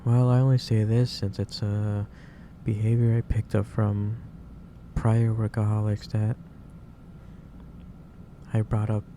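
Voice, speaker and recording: A man playing a gentle, caring office boss in an ASMR roleplay, speaking haltingly with repeated phrases over a noisy background. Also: fairly low pitch, flat delivery. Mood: gently concerned. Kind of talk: soft-paced ASMR roleplay monologue. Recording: clearly noisy background hiss or ambience.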